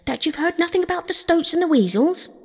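A woman voicing an earnest, excitable male river rat, a loyal friend who speaks emphatically in an old-fashioned way in a storybook. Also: medium pitch, normal speed, slightly rough, long reverb tail. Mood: shocked, urgent.

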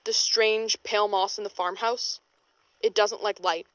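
A woman's voice: medium pitch, fairly even intonation, measured pace, highs cut off, close to an everyday voice. A woman playing a hard-boiled, world-weary female paranormal investigator in a 1920s noir audio drama, with wry, slangy delivery. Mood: brisk, wry. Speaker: investigator and narrator. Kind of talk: audio drama, noir-style recorded case statement.

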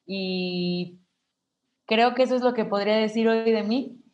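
A woman in her twenties, an actress, talking thoughtfully as a podcast guest, tired yet energetic, often ending phrases with a questioning tag. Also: fairly high pitch, unhurried, muffled recording. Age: 20s. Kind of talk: reflective conversation.

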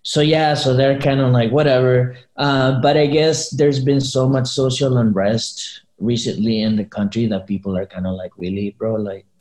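A man's voice: mid-range voice, fairly even intonation, normal speed, few pauses, a little mellow. Casual man in an echoey room. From Chile. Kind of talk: casual chat.

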